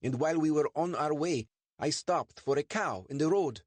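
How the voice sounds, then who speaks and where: A man voicing a nervous, excitable truck owner who pleads and protests in a raised voice. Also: on the high side, normal speed, dry recording, voice pushed up, close to an everyday voice. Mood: pleading.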